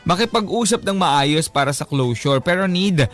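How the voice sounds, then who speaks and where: A Filipino man with a moderately high voice co-hosts a radio show. He is casual and joking, chipping in reactively, with music low in the background. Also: expressive, normal speed, a bit bright. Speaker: radio co-host. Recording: radio studio with music underneath.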